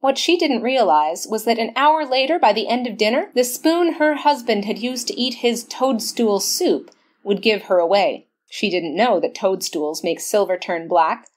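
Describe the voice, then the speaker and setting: An actress playing a shrewd, matter-of-fact police sergeant in an old-time radio mystery. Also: female voice, a little high, expressive, normal speed, hardly any pauses. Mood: explaining.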